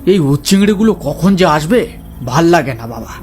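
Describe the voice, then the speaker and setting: A man's voice: fairly high pitch, animated, normal speed, a little mellow, faint music behind, close to an everyday voice. A man voicing a timid young village man who whines and mutters complaints in a horror cartoon. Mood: grumbling. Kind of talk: Bengali horror cartoon acting.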